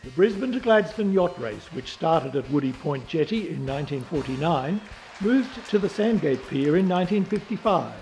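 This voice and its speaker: A man giving measured, scripted documentary narration. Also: a little high, animated, darkish tone, soft background music, rough recording. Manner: measured, informative.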